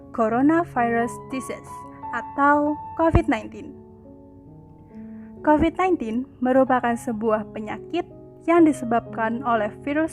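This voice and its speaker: Young woman student narrator, scripted read, voice a bit high, music playing behind. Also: a little mellow. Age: young adult. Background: background music.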